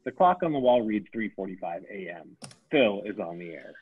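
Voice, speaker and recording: Husky man reading a script, phone-like sound. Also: lowish voice, expressive, normal speed. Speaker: stage-direction reader. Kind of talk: reading script aloud at table read. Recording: thin, phone-like, band-limited sound.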